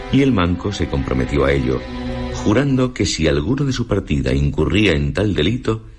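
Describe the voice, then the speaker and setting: A man with a Castilian Spanish accent narrating a literary radio drama in a solemn, measured storytelling manner. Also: very deep voice. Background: prominent music.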